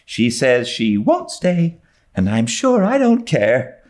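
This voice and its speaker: A man voicing an excitable, loyal young girl with a dramatic, gossipy manner in an audiobook. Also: medium pitch, slow pace, voice pushed up. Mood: excited. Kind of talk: dramatised audiobook reading of a classic novel.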